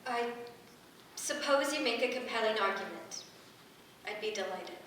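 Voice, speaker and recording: A woman playing a composed, polite water herald in a staged play reading, measured and formal. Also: fairly high pitch, lively intonation, fairly bright tone. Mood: agreeable. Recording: very echoey room, distant, faint noise.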